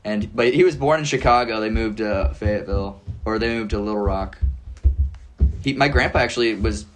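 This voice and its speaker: Chill young dude, lowish voice, rambling casual talk. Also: male voice, fairly quick, faint music behind. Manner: laid-back, rambling, says "like" and "dude" often. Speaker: podcast guest. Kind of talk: casual conversation.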